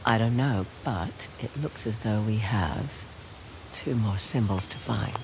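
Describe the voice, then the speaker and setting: A woman playing a confident, quick-witted treasure hunter in a video game, with a wry, breezy way of talking. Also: a bass voice, creaky, background noise, exaggerated voice. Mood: thoughtful. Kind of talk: naturalistic video game cutscene acting.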